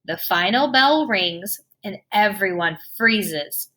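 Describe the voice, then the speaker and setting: A woman reads a children's picture book aloud with a bright, clear voice. Her pitch leaps and swoops, and she takes her time, drawing out the playful sound words. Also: lively intonation, takes it slowly, poor audio. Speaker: storybook reader.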